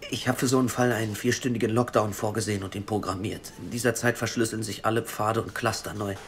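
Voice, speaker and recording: A man's voice: on the low side, slight background noise, close to an everyday voice. An actor playing a nervous, stammering male hacker in an audio drama. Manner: stammering, hurried, excitable. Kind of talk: radio drama acting.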